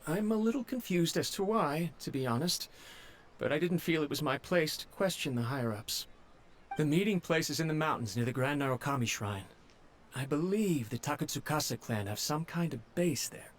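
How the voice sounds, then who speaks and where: Guy doing a jittery young servant, stammers a lot, bit high-pitched. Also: animated, moderate pace, a little hiss or hum, lightly acted. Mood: worried. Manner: stammering, humble and anxious. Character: nervous young male servant of a noble clan.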